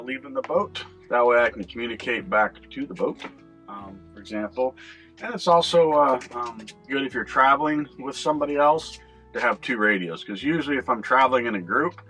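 An older man hosting a boating video, talking casually and haltingly as he explains things inside a small boat cabin. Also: mid-range voice, bright, forceful tone, low-quality recording. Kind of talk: casual explanatory vlog talk.

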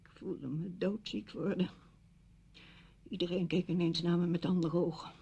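A woman's voice: on the low side, steady tone, normal speed, vocal fry, mellow, slightly rough, muffled recording, heavily acted. An actress in a radio drama playing a bitter, wounded single woman confronting her friend with intense reproach. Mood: hurt. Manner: reproachful, emotionally intense monologue.

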